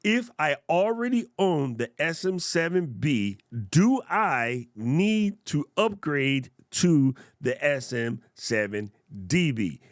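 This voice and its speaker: A man hosting a casual livestream, demonstrating gear in a playful, jokey way and chatting with viewers in a naturally loud voice, close on a studio mic. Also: a little high, lively intonation, slow pace, few pauses, no echo. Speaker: tech reviewer livestream host. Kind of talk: casual livestream product demo. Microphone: studio broadcast mic up close.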